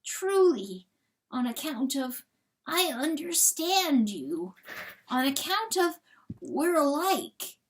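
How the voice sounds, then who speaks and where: Woman doing a little wounded coyote guy, calm n forgiving, soft slow earnest voice, storybook style. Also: high-pitched, a little hiss or hum, pitched above the performer's own voice, heavily acted. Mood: tender, forgiving.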